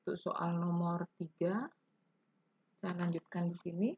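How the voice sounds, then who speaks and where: Woman walking through a math problem slow and patient, bit breathy, kinda muffled recording. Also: on the low side, little pitch movement, darkish tone. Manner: patient, step-by-step explaining, plays student questions. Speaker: math teacher. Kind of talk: whiteboard math lesson.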